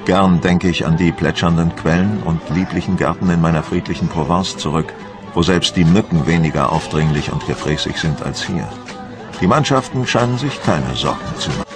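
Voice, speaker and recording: A low, weighty male voice as a proud, watchful admiral from the Napoleonic wars. He recounts his campaign in measured, formal phrases, like a diary in a radio documentary. Music plays clearly behind him. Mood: wistful, watchful. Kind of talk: first-person dramatised documentary narration.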